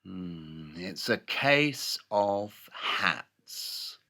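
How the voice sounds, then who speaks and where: An actor playing a brilliant, impatient Victorian detective in an audio drama, brusque, sarcastic and condescending. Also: male voice, deep voice, lively intonation, drawn-out words, pushed and bright, full character voice. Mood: musing.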